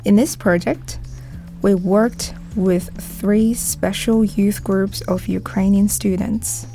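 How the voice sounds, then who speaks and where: A woman's voice: mid-range voice, steady tone, slow, mellow, soft background music. A woman who coordinates a youth project, reading a prepared introduction for a radio program. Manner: reads a prepared script.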